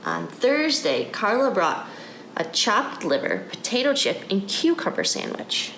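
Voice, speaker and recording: Animated woman reading to children, roomy sound. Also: mid-range voice, unhurried, gravelly. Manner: addresses children directly with questions. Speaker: children's story reader. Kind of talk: guided picture-book read-aloud for children. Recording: echoey room with background noise.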